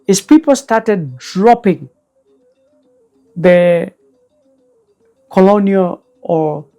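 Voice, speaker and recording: An older man, a guest elder recalling the past in slow, measured speech. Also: sits high, dark tone, faint music behind. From Kenya. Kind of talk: reflective storytelling interview.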